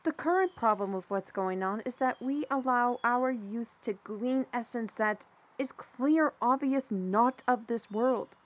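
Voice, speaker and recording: A woman's voice: fairly high pitch, measured pace. A woman acting an outspoken, resentful woman in a fantasy audio drama, speaking in preachy speeches. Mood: bitter, lecturing.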